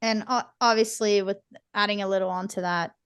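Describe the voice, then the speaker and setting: A woman's voice: medium pitch, fairly quick, clear, resonant tone, dry recording, studio quality. A woman talking passionately and animatedly in a podcast interview, acting out what others say. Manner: passionate, animated, quotes people in voices. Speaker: non-profit board member and content creator.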